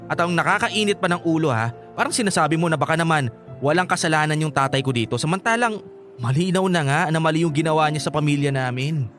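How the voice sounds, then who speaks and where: A man's voice: fairly high pitch, fast talker, a bit bright, soft background music, close to an everyday voice. A man playing a hurt, angry young son and boyfriend in a Filipino radio drama, stammering when upset. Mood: angry, confronting.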